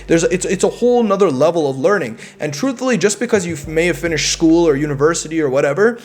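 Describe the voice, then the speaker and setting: A man's voice: fairly high pitch, expressive, fast, darkish tone. A young man vlogging, giving casual self-improvement advice in an emphatic way. He often repeats words and adds "right?".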